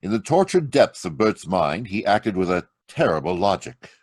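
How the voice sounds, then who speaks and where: A man playing a deep, grave radio detective. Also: very animated. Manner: measured, grave and reasoning. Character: calm, deductive male amateur detective. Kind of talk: old-time radio drama acting. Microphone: home mic on a video call.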